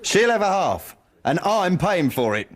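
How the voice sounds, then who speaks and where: An actor playing a jealous boyfriend, blunt and aggrieved, in a radio drama. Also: male voice, sits high, sing-song, measured pace, dry recording, full character voice. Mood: grudging. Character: jealous, grumbling working-class boyfriend in pub. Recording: noisy pub ambience.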